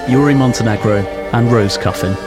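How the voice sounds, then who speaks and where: A man's voice: medium pitch, a little fast, over loud music. A man announcing closing credits in a scripted reading.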